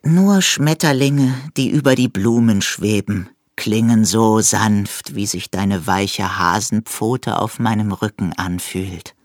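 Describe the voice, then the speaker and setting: A man voicing a dreamy, gentle female lizard in a children's story, speaking softly and slowly. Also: lowish voice, dry recording, studio quality. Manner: soft, dreamy, slowed.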